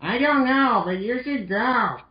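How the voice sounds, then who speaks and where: A perky, lovesick young girl played by a man, pitched well up with lively swings. It is a cartoon-style amateur reading in a roomy, muffled recording. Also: a little slow. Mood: encouraging. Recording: roomy, dull lo-fi mic.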